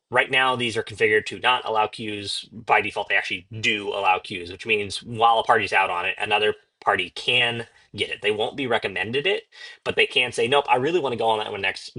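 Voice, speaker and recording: Guy walking thru a software demo, kinda low voice but bright and punchy, chatty explainer style. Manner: conversational, explanatory, acts out short example requests. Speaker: software demonstrator. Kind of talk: informal screen-share software walkthrough.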